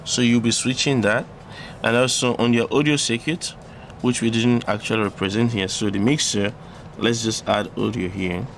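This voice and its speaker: Low-voiced man explaining, noisy background. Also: fairly even intonation, moderate pace. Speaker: presenter of electronics tutorials. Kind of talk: unscripted instructional explanation. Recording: clearly audible background noise.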